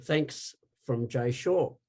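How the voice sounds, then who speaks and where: Male podcast co-host, average pitch, informal read-aloud of audience questions. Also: takes it slowly, darkish tone. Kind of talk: reading out viewer questions.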